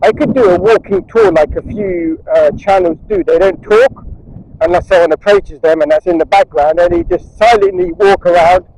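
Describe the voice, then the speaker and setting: Travel vlogger, man, slightly high voice, chatty and wandering, chest-cam audio with heavy wind noise. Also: normal speed, darkish tone, low-quality recording. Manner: chatty, wandering asides, talks to viewers. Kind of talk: rambling walking vlog chat. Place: outdoors on a windy beach. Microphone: chest-strapped action camera mic. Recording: wind noise on a body camera mic.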